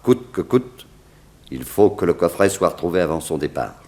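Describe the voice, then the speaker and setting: A stately male president in a 1960s French radio serial. The voice is very deep, and the delivery is courteous, ceremonious and full of solemn authority. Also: big pitch swings, normal speed, a little mellow. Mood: grave, commanding. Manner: formal, ceremonious.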